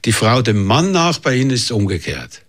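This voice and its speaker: A man's voice: medium pitch, sing-song, brisk, a bit bright. A man interviewing a guest, asking probing, chatty questions.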